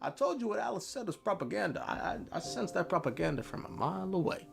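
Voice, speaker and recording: A man with a high, lively voice delivers an energetic recap of game lore. He is emphatic and dramatic, swinging widely in pitch, with faint music behind him. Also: fast talker, vocal fry.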